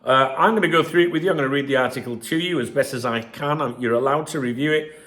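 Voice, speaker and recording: A man, croaky from a chest infection, giving sweary and sarcastic casual commentary on a news article as a football channel host. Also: mid-range voice, fast talker, a bit bright.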